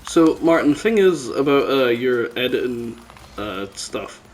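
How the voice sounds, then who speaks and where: A man's voice: medium pitch, expressive, unhurried. A man co-hosting a casual gaming podcast, bantering in a teasing, sweary way. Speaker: podcast co-host. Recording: faint background noise.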